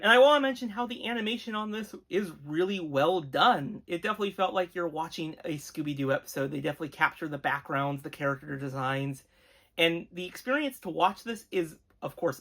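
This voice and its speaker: The voice belongs to a show host with a medium pitch. The delivery is earnest and emphatic, in the conversational style of an enthusiastic retro cartoon review. It comes across as appreciative commentary aimed straight at viewers. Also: gravelly, a bit bright.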